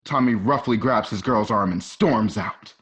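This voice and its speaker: A man's voice: lowish voice, measured pace, a bit bright. A man narrating an amateur radio play, reading out screenplay stage directions. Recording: dull lo-fi home recording.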